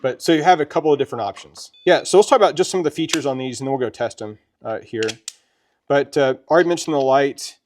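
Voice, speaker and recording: A man's voice: mid-range voice, fast, a little mellow, slight background noise. A man presenting a gear review in a casual, folksy, conversational way, as if showing a friend how it works. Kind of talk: casual product demonstration chat.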